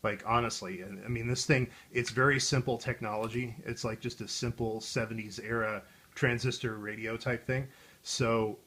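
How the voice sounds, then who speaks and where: A man's voice: medium pitch, steady tone, normal speed, a bit bright. An American man hosting a video in casual chat, with a wry, rambling way of riffing as he talks. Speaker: video host.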